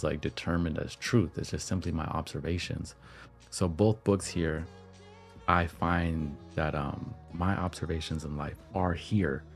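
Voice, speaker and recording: Slightly hoarse man, deep voice, gravelly, laid-back livestream chat, soft music behind. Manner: relaxed, reflective, slangy. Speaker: podcast and livestream host. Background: soft background music.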